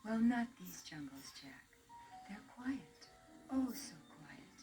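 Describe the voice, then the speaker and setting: A woman voicing a gentle talking spaceship. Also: mid-range voice, sing-song, takes it slowly, music in the background.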